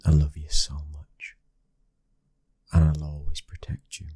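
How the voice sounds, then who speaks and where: A British man playing a protective, devoted young mafia heir and lover in an intimate ASMR roleplay, speaking softly and tenderly, often near a whisper. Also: very deep voice, monotone, measured pace, slightly rough, dry recording, clean studio sound, exaggerated voice. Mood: gentle, loving.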